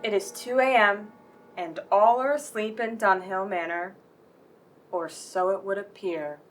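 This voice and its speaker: A woman's voice: medium pitch, measured pace, lightly acted. A woman playing a theatrical, formal announcer of an old-time radio mystery show. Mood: hushed, ominous.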